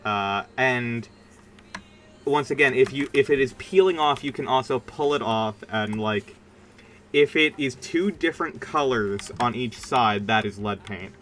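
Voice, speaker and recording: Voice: mid-range voice, measured pace, fairly bright tone, a little hiss or hum. A streamer chatting casually during a game livestream in a rambling, informal and emphatic way. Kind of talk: casual livestream chat while gaming.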